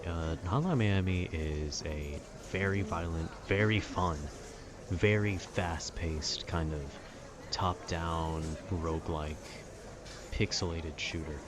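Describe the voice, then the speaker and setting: Low-voiced laid-back man over outdoor ambience. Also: slow, noisy background. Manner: laid-back, meandering, informal slang. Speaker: amateur voice actor and host. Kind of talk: casual rambling monologue about video games. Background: outdoor city ambience.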